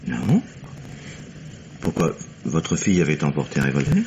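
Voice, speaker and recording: An actor playing a formal, regretful police inspector in the style of 1950s French radio drama, polite and measured. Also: male voice, very deep voice, huge pitch swings, creaky, darkish tone, full character voice. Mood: probing, tactful. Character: courteous, regretful male police inspector breaking bad news. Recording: dull, noisy old radio recording.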